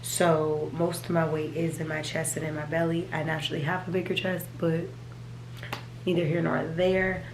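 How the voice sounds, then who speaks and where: Raspy-voiced woman vlogging from home. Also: sits low, level tone, moderate pace, reverberant, background noise. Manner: rambling, chatty, talks to viewers as "you guys". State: hoarse from allergies. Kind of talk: casual chat. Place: home, at a desk.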